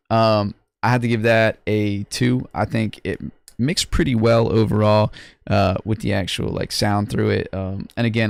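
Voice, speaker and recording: Slangy laid-back man with a gravelly voice. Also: on the low side, dry recording. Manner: loose, laid-back slangy talk with many restarts. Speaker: host judging music contest entries. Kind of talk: casual livestream review chat.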